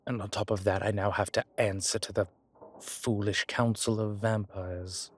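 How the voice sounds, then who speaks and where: A man playing an ancient vampire commander in an intimate audio roleplay, speaking with formal, old-fashioned diction and a measured, haughty manner. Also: fairly low pitch, steady tone, lightly acted. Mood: haughty, irritated. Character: ancient male vampire commander, haughty yet tender to his companion. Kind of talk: intimate audio roleplay acting, one side of a conversation.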